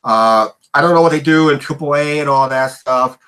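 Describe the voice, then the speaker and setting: Middle-aged male host, bright, rambling livestream chatter full of fillers. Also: a little high, moderate pace, clean tone. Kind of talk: casual livestream chat.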